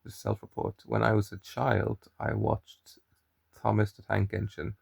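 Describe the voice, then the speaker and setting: A man's voice: on the low side, flat delivery, measured pace, vocal fry, darkish tone, breathy edge, dry recording. A young man with a mild Irish accent, chatting and rambling to camera in a daily vlog, often restarting his sentences. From Ireland. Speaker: daily vlogger. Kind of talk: casual chat to camera.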